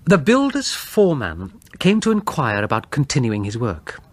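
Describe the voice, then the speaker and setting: A man narrating a horror audiobook in a dramatic, suspenseful, measured storytelling style with deliberate pauses. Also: mid-range voice, wildly swooping pitch, no echo.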